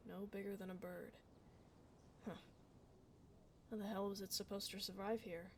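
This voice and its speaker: A woman's voice: medium pitch, little pitch movement, normal speed, a little hiss or hum, close to an everyday voice. A woman playing a blunt, kind tomboy dullahan adventurer in a casual, reassuring fantasy ASMR roleplay. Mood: curious, baffled. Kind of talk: ASMR fantasy roleplay, close conversational acting.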